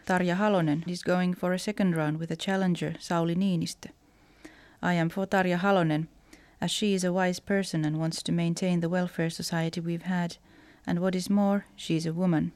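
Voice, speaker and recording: A woman's voice: fairly low pitch, fairly even intonation, a little fast, a little mellow, no room sound. A woman from Finland with a Finnish accent, a writer reading personal letters aloud.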